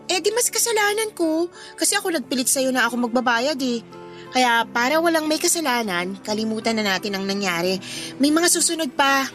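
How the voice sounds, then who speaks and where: A woman's voice: high voice, lively intonation, fairly quick, fairly bright tone, faint music behind. A woman acting a caring, teasing young daughter in a radio drama. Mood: playfully reasoning.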